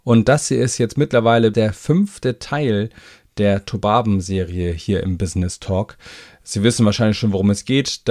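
A man's voice: mid-range voice, darkish tone. A man who produces the podcast, reading out a scripted introduction to the episode.

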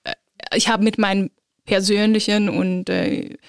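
Woman speaking german, lots of uh and um, kinda gravelly, dry mic. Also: mid-range voice, animated, no echo. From Iran. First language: Azeri. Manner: thoughtful, halting with many fillers. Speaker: guest, philosopher of religion. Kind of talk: interview answers.

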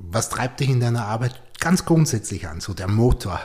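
A man's voice: medium pitch, sing-song, fairly quick, darkish tone. A man hosting a podcast interview, asking probing questions, often ending with a tag question and repeating words as he thinks. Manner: probing questions, frequent "oder?" and "mhm" backchannels, repeats words. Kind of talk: conversational interview.

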